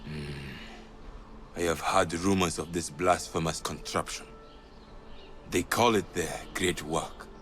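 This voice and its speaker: Low-voiced, knowing male spymaster. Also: slow, pauses often, a bit bright, a little hiss or hum. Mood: knowing, musing. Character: knowing, genial male spymaster, seasoned guild leader. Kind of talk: dramatic game cutscene acting.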